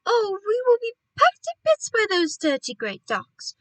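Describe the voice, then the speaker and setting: A woman voicing an excitable, fretful mother bird in a storybook, exclaiming dramatically. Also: extremely high pitch, normal speed, no echo, pitched above the performer's own voice, full character voice. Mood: indignant worry.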